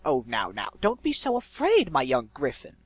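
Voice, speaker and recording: A man's voice: medium pitch, wildly swooping pitch, breathy edge, dry recording. A man voicing an eloquent, malevolent disembodied male spirit in a storybook, drawing out words in a theatrical coo. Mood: mocking, malevolent. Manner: drawn-out, theatrical, cooing.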